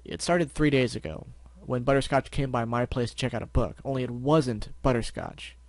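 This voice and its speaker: A man voicing a calm, serious lavender unicorn stallion who questions others in a measured, firm, matter-of-fact way. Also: mid-range voice, no echo, natural voice.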